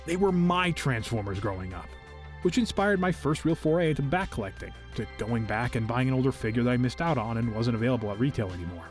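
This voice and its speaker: A young man narrating a review in a casual, expressive, enthusiastic and conversational way. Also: mid-range voice, fast talker. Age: young adult. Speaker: video host, toy collector. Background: music.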